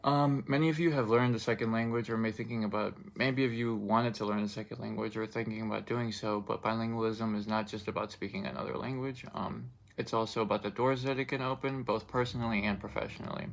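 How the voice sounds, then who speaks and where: Gravelly low-voiced young man. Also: steady tone, quick pace, few pauses. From Russian-speaking country. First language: Russian. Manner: loose, filler-heavy delivery with many restarts. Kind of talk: informative speech.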